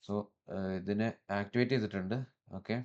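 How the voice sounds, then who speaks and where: A man with a Malayali accent presenting a step-by-step software tutorial in an instructional manner, mixing in English technical terms. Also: lowish voice, fairly even intonation, brisk, highs cut off.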